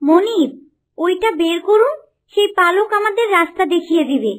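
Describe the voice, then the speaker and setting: A woman's voice: very high-pitched, animated, moderate pace. A woman voicing a loyal, helpful talking horse in a cartoon, respectful and reassuring toward its master. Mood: eager, reassuring. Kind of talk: Bengali cartoon dubbing.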